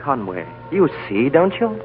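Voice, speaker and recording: A man's voice: a little high, very animated, unhurried, music in the background. An actor playing an agitated, stammering young man who pleads in 1940s radio drama style. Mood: hopeful, excited. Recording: tinny 1940s radio broadcast.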